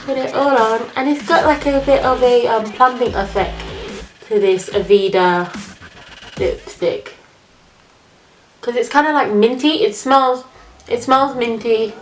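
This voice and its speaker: A woman's voice: a little high, a little slow, long pauses. A woman vlogger talking casually through her makeup routine, sounding nasal from a cold. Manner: chatty, talks while applying makeup. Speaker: beauty vlogger. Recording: echoey room with music behind.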